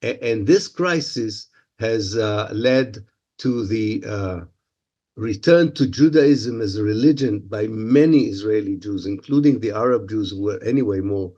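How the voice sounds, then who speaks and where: A man's voice: fairly low pitch, unhurried, mellow. A middle-aged man whose first language is Hebrew, answering interview questions with many fillers and restarts. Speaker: guest historian. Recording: dull lo-fi audio.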